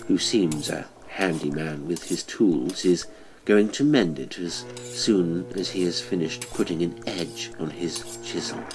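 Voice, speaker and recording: A man narrating a horror story for an audiobook in a measured, deliberate, dramatic way. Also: low voice.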